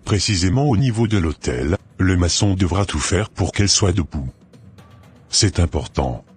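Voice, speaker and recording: A man voicing a sinister, commanding older marabout sorcerer in a French cartoon, speaking in a measured, solemn way. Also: low voice, animated. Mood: cold, instructing. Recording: music behind the voice.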